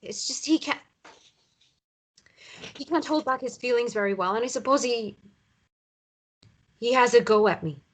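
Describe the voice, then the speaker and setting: A woman acting a weary, evasive mother in a naturalistic drama table read, speaking haltingly and appeasingly. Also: fairly high pitch, close to an everyday voice. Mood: defensive, subdued. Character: weary mother downplaying her ex's abuse, evasive.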